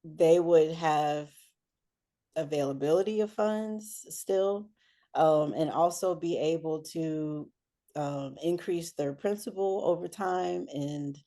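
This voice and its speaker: Low, unhurried, mellow-voiced woman. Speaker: interview guest, air traffic control supervisor and businesswoman. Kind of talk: conversational interview answers.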